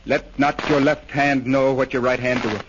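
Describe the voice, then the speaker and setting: An actor playing a grieving, devout war veteran in an earnest, solemn 1930s radio drama style. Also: male voice, mid-range voice, steady tone, moderate pace, lightly acted. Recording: noisy, dull 1930s radio recording.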